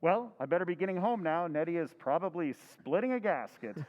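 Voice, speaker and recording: An actor playing a flustered, excitable henpecked husband in an old-time radio drama recreation. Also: male voice, fairly high pitch, fairly quick, in a large hall, muffled recording, natural voice.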